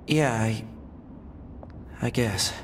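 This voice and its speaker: A man playing a boyish, curious young android soldier in a video game, talking in an expressive, conversational way. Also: medium pitch, extremely slow, faint music behind. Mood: casual, musing.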